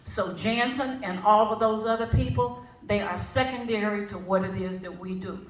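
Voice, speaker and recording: A woman's voice: medium pitch, moderate pace. A woman giving an informal, colloquial talk to a group, emphatic and repeating phrases for effect. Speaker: community organisation representative. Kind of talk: informal public address to a group. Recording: echoey room, distant mic, background noise.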